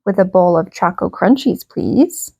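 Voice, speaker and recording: A woman's voice: lowish voice, expressive, unhurried, creaky, mellow, slightly rough, dry recording, heavily acted. A woman voicing a young, chocolate-loving schoolgirl in a storybook, with simple, emphatic childlike delivery. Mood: cheerful. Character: young schoolgirl who is utterly devoted to chocolate. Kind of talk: storybook character voice.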